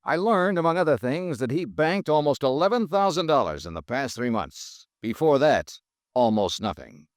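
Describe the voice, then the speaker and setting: An actor playing a brisk, wisecracking male insurance investigator in a 1950s radio drama, narrating his own case in a clipped, dry way. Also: on the high side, big pitch swings, no echo. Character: hard-boiled male insurance detective, brisk and wry.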